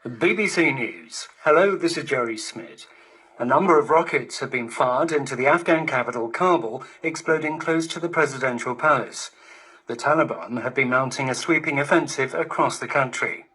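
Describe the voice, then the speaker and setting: A man's voice: medium pitch, moderate pace, bright, forceful tone, slightly rough, rough recording. A man reading formal scripted radio news bulletins, received over digital shortwave radio. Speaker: news presenter.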